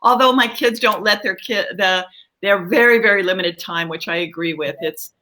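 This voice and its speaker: A woman's voice: mid-range voice, moderate pace, sharp tone. A middle-aged or older woman, a guest children's author, chatting casually and explaining things a little hesitantly. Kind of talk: casual interview chat.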